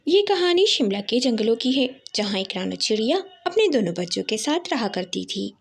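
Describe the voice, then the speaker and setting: A woman tells a children's cartoon story from a script. Her voice is high, with animated, swooping intonation. She narrates expressively, with a dramatic storyteller's delivery.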